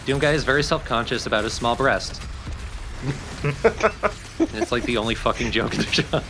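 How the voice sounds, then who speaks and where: A man's voice: medium pitch, normal speed, background noise. A man commentating on a video game with casual, joking banter. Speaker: let's play commentator.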